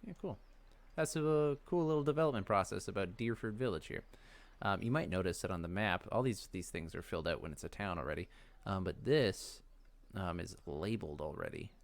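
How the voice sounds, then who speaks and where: A man's voice: low voice, lively intonation, a little fast, vocal fry. A man hosting a let's play, giving casual commentary on a game as he plays.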